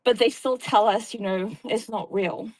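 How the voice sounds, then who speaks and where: A woman's voice: medium pitch, steady tone, normal speed, a little mellow, rough recording. A woman with a South African accent in casual chat, earnestly explaining things at length. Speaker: guest.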